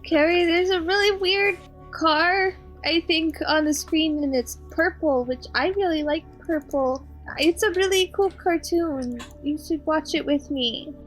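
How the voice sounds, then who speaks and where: High-voiced woman playing a roleplay game. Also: measured pace, faint music behind. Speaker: player. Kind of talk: tabletop roleplay, casual in-character talk.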